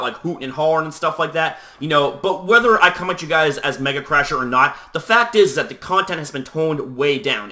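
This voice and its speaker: Chatty young man, bright, toned-down voice. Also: on the high side. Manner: loose and chatty, heavy on "you know"; talking at a deliberately toned-down volume. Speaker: YouTube host. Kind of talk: casual talk to camera.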